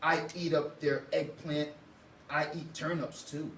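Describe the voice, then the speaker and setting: A man's voice: on the high side, little pitch movement, unhurried, a bit bright. A man voicing a gluttonous, bargaining monster from a children's storybook, boastful and demanding in rhyme. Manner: boastful, demanding, rhyming patter. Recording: roomy, distant mic, faint noise.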